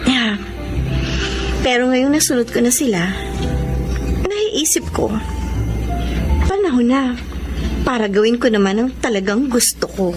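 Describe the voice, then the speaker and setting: Lively, high-voiced young Filipino woman. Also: a little slow, long pauses. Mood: earnest. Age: young adult. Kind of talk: Filipino radio drama acting. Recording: music playing behind the voice.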